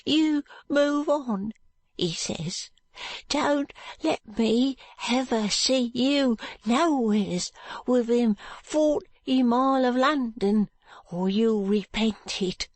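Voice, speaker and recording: A woman voicing a frightened, pitiful ragged street boy with a high, cracked, tearful voice and slurred street speech. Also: slow, a little mellow, no echo. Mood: pleading.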